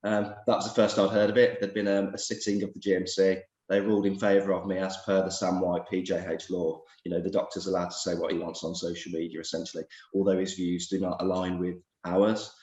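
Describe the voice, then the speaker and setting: Hoarse fast-talking man with a cold. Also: low-pitched, little pitch movement, darkish tone, reverberant, lo-fi sound. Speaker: doctor, interview guest. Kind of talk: video call interview chat.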